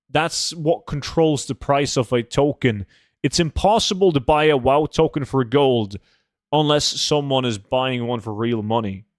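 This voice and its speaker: A man talks casually on a gaming livestream. He is blunt and swears offhandedly, in a mid-pitched voice. The sound is close and dry, with very little room in it. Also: no echo. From Norway. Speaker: game livestreamer.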